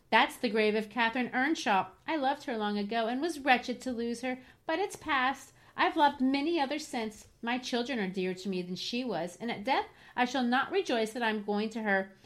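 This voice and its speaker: Woman acting dying young woman, raised pitch, bitter, passionate, pleading, storybook drama. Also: fairly bright tone. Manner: pleading, emphatic. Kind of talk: dramatic novel reading.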